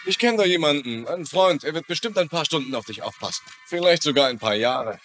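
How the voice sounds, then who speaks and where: A man's voice: a little high, animated, brisk, a bit bright, soft background music. An actor playing a wry, grumbling, image-conscious man in a dubbed crime drama. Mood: exasperated. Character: wisecracking, image-conscious man saddled with a dog.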